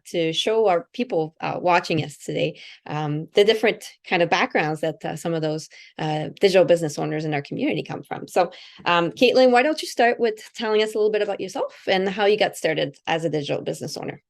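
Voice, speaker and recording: A woman's voice: fairly low pitch, lively intonation, a little fast, vocal fry. Encouraging woman hosting an online interview. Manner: friendly, encouraging, many fillers. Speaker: host, interviewer. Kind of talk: casual interview chat.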